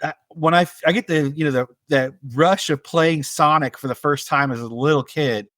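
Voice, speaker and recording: Male co-host, thirties, slightly high voice, very dry sound, casual conversational film talk. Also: normal speed, a bit bright, dry recording. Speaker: podcast co-host.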